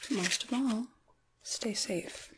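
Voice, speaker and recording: Slow, whispering woman as a kindly witch. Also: on the high side, background noise. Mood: gentle, reassuring. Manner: gentle, unhurried, intimate, reassuring. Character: kindly, caring witch reading tarot. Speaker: ASMR roleplay performer. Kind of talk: soft-spoken ASMR roleplay, largely whispered.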